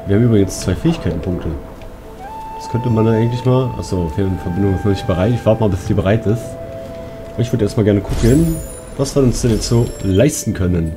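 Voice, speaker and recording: A man's voice: on the low side, a little fast. A man giving casual, colloquial gameplay commentary in speech, talking half to himself and half to his viewers. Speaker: let's player. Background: game music.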